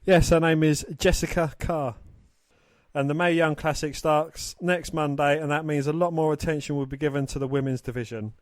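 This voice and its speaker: A man co-hosting a casual sports podcast, talking in a halting way and stumbling over his words. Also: fairly high pitch, fairly even intonation, dry recording, clean studio sound. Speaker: podcast co-host.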